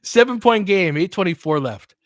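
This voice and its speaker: A man's voice: sits high, animated, normal speed, no room sound, studio quality. A man calling a basketball game live in clipped phrases, with casual, fan-like asides between the plays. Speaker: basketball play-by-play commentator.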